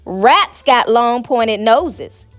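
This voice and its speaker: Woman playing a sassy high-voiced Southern country girl. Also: expressive, very slow, soft background music. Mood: skeptical. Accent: Southern US rural. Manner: sassy, matter-of-fact. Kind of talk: storybook character voice.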